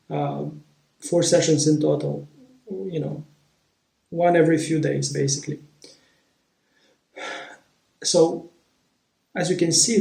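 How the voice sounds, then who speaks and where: Mellow man. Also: fairly high pitch. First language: Romanian. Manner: thoughtful, searching for words. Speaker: vlogger. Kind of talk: reflective personal storytelling. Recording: roomy echo.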